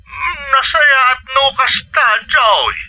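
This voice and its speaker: Anxious Ilocano father, sharp high voice. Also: big pitch swings, takes it slowly, a little hiss or hum, full character voice. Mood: worried, pleading. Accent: Filipino, Ilocano. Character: anxious, aging Filipino father pleading with his daughter. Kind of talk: radio drama acting.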